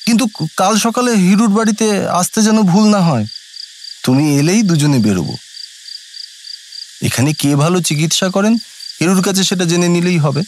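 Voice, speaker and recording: A male actor playing an earnest court official who narrates his own eerie encounter in a dramatic, theatrical audio story with suspenseful pauses. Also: high-pitched, background noise. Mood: friendly. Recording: studio recording with background music.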